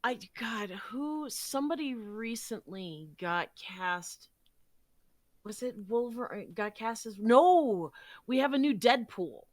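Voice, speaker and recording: A woman chatting casually as a podcast guest, expressive and effusive. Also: fairly high pitch, slow pace, no echo. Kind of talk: casual conversation.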